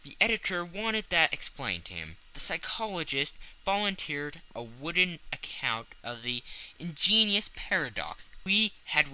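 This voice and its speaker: A man reading a classic novel as a dramatic audiobook narration, with measured literary phrasing and deliberate pauses. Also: a little high, sharp tone, poor audio.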